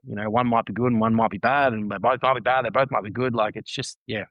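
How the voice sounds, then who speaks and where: A man's voice: on the low side, steady tone, fast, no room sound, highs cut off. A man in his thirties, a guest on a casual podcast, talking earnestly and reflectively and often repeating phrases. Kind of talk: casual conversation.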